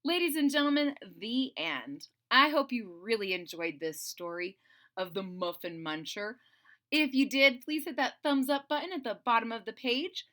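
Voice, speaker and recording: A woman reading a storybook aloud to children, expressive and conversational, speaking directly to young listeners. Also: a little high, moderate pace. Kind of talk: animated children's read-aloud with casual asides.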